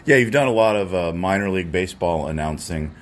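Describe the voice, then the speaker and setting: A man's voice: low voice, fast. An American man working as a radio host, asking questions in a casual, conversational interview style. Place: stadium radio booth. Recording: clear steady ambient noise behind the voice.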